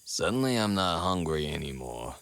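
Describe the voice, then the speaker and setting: A man's voice: low-pitched, sing-song, moderate pace, a bit bright, a little hiss or hum, exaggerated voice. A man playing a skeptical, easygoing sidekick in an audio drama, with a wry, joking delivery.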